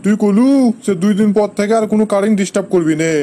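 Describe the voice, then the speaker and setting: Fast, high-voiced scolding cartoon uncle acted by a man. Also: steady tone, dark tone, natural voice. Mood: scolding, indignant. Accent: Bogura, northern Bangladesh. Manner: gruff, rustic, argumentative. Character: irritable, scolding village uncle, sceptical elder. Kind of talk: comic cartoon dialogue.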